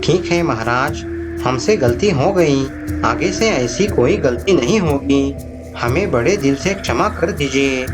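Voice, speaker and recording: A man's voice: mid-range voice, lively intonation, unhurried, over music, natural voice. A man voicing a timid, submissive field rat in a cartoon, speaking deferentially and apologetically. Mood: apologetic.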